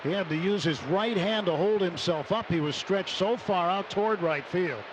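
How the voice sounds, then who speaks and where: Guy doing radio baseball play by play, voice a bit high, noisy stadium behind him. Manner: measured between plays, excited on big plays. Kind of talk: live radio sports commentary. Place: stadium broadcast booth. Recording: noisy live ballpark radio broadcast.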